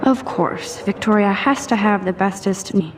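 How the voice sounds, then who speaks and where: A woman's voice: mid-range voice, moderate pace, a little hiss or hum, close to an everyday voice. A young woman acting a shy, self-doubting, sarcastic teenage student in a video game, talking introspectively to herself. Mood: annoyed, sarcastic.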